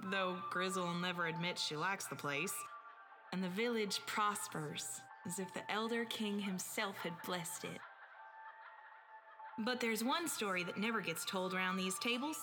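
A woman's voice: medium pitch, lightly acted. A woman playing a brave, anxious young hunter in a fantasy audio drama, earnest and urgent as she searches for her brother. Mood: wistful. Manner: earnest, urgent, talking to herself. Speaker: narrator and lead character.

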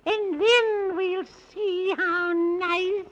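A wicked old witch gloats in rhyme, her voice piercing and very high. She draws out her words with a sharp edge, in the theatrical manner of 1930s children's radio, on a dull vintage recording. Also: steady tone, very slow, exaggerated voice. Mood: gloating menace. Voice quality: cackling laugh.

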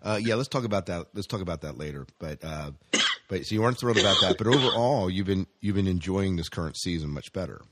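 A man's voice: sits low, lively intonation, quick pace, heavy background noise. A man hosting a casual news chat, speaking conversationally and often putting questions to his co-host. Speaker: podcast host reading entertainment news.

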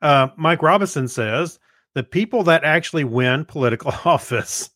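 A man co-hosting a podcast in casual chat, talking in a hesitant, low-key, meandering way. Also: animated, normal speed, fairly bright tone, no echo, studio quality. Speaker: podcast co-host.

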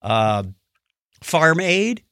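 A man hosting a podcast in casual chat, telling stories in an animated way and acting out what others said. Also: on the high side, drawn-out words, clean tone, no echo, clean studio sound. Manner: animated storytelling, acts out quotes. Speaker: podcast host.

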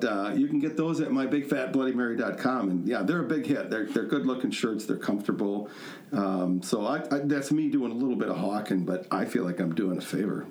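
A man with a mid-pitched voice hosts a podcast casually. He is chatty, joking and self-mocking. The room around him is noticeably echoey. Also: a little mellow, a little hiss or hum. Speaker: podcast host. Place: echoey indoor studio room.

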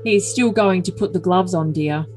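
A woman's voice: fairly low pitch, normal speed, darkish tone, soft background music, close to an everyday voice, low-quality recording. A woman voicing a doting, controlling, self-important boyfriend in an overly dramatic reading of messages. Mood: devoted.

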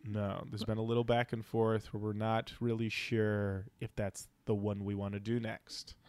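A man's voice: fairly low pitch, level tone, normal speed, a little mellow, clean tone, no echo. A man co-hosting a podcast, chatting informally with wry asides and reading out listener comments. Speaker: podcast co-host. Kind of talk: casual podcast chat, reading out listener feedback.

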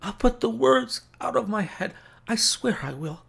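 A gravely ill man in a classic detective tale. The voice is lifted high and thin, dragging slowly through labored, whispery gasps. He pleads weakly and haltingly, as if every phrase costs him effort. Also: lively intonation, fairly bright tone, full character voice. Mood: desperate pleading. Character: gravely sick man, weak, gasping, pleading for help.

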